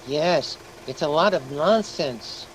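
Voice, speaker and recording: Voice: expressive, slow, low-quality recording. An actor's performance of a grumpy, tight-fisted male property owner in a radio drama, curt and sceptical. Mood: grumpy, dismissive. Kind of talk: old-time radio acting. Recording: faint engine rumble behind the voice.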